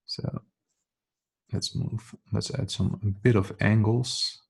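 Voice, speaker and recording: Dutch-accented male art tutor, non-native English, gravelly and slow-paced, talks through his sketch. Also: on the low side, fairly even intonation, a little mellow. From Netherlands. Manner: thinking aloud while sketching, halting with fillers and restarts. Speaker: drawing tutor. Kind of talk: casual drawing tutorial commentary.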